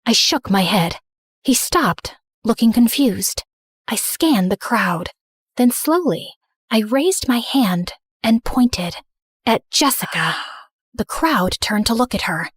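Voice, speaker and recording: A woman's voice: fairly high pitch, expressive, slow, husky, dry recording, clean studio sound, exaggerated voice. A woman playing a hurt, guilt-ridden teenage girl who narrates her own story in a confessional, dramatic first-person style. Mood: sombre. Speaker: narrator and main character.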